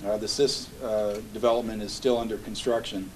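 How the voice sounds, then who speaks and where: A man's voice: lowish voice, steady tone, fairly quick, darkish tone, recorded from a distance, a little hiss or hum, poor audio. A man who directs a housing agency, giving a methodical, businesslike briefing at a public meeting.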